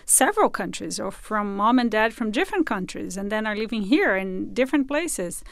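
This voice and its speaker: A woman being interviewed as an audio drama creator, explaining things in a casual, animated way. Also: mid-range voice, normal speed, vocal fry, dry recording, clean studio sound.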